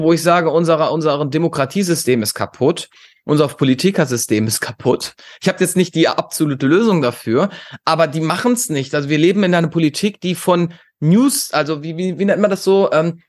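A man's voice: on the high side, animated, fairly quick. A man from Germany talking as a podcast guest, giving opinions emphatically and outspokenly with rhetorical questions. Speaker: podcast guest, conference founder. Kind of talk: opinionated interview talk.

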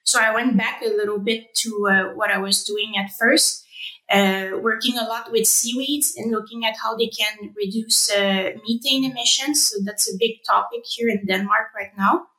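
A woman's voice: fairly high pitch, fairly even intonation, moderate pace, bright, forceful tone, reverberant, poor audio. A young woman from Quebec, a native French speaker with a French Canadian accent, talking conversationally as an interview guest. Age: young adult. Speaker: podcast guest, researcher.

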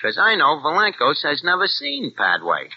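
Crook narrator: slangy, ungrammatical tough guy, lively pitch, old-time radio. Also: moderate pace, slightly rough, full character voice. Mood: scheming. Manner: slangy tough-guy talk, bad grammar. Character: streetwise small-time crook posing as an Englishman. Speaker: narrator and lead character. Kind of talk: 1940s radio acting. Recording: dull old radio recording with music.

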